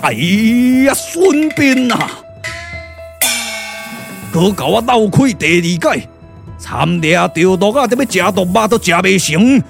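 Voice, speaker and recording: A proud old immortal sage from a puppet radio play, with a Taiwanese accent. His speech swoops dramatically and stretches out in slow, boastful declamation, over music. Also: high voice, very animated, vocal fry, heavily acted. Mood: furious. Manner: theatrical, boastful, drawn-out declamation.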